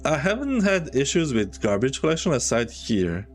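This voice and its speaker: A man's voice: mid-range voice, sing-song, normal speed. A man from Serbia, chatting casually while streaming a city-building game and thinking aloud with restarts. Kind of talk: relaxed game livestream chat. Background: soft music.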